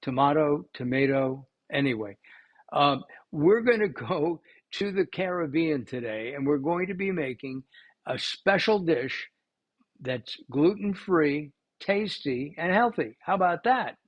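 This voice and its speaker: Slow, rambling male cooking host. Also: a little high, animated, dull audio. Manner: rambling, many fillers and restarts. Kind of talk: casual cooking show talk.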